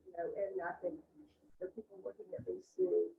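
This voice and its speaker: An older woman with a Southern accent, speaking reflectively and softly as a workshop participant. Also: medium pitch, very animated, fairly quick, creaky. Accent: Southern American. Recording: distant tinny mic in echoey room.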